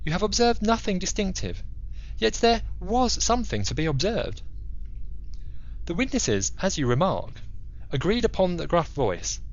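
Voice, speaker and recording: Man voicing Dupin, an animated, analytical detective. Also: sits high, moderate pace, lo-fi sound. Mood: assured, explanatory. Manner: formal, methodical, emphatic, rhetorical. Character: C. Auguste Dupin, analytical, self-assured male detective in Paris. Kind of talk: theatrical audiobook character monologue.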